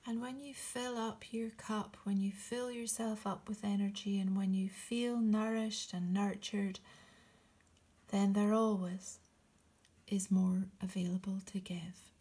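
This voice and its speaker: A woman speaks as a meditation guide at a very slow pace. Her voice is soft and breathy, often slipping close to a whisper. The delivery is gentle and soothing, with long pauses between short, encouraging phrases. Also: mid-range voice, fairly even intonation.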